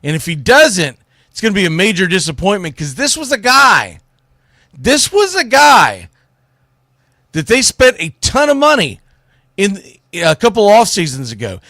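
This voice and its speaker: A man's voice: sits high, sharp tone, no room sound, studio quality. A man hosting a sports radio show, giving blunt, opinionated, unscripted commentary with emphatic phrasing.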